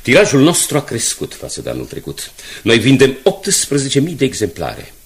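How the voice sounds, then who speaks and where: An actor playing a proud, principled newspaper director in a radio drama, speaking firmly and formally. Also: male voice, mid-range voice, wildly swooping pitch. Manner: firm, dignified, formal.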